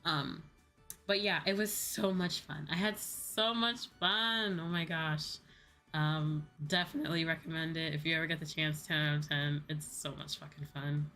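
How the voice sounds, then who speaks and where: A woman's voice: deep voice, steady tone, moderate pace, sharp tone, faint music behind. A young adult woman chatting casually on a livestream, informal and chatty, often repeating her phrases. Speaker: VTuber live streamer.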